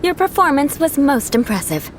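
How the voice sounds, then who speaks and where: A woman's voice: high-pitched, very animated, normal speed, slight background noise. An actress playing a proud young noblewoman knight in a video game, speaking in a haughty, formal, theatrical way. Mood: approving. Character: proud aristocratic young female knight, haughty, vengeful, secretly caring.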